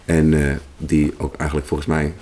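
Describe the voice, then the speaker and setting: A man with a very low voice chats casually in an interview. He speaks as a musician answering questions. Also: completely flat delivery, normal speed, mellow.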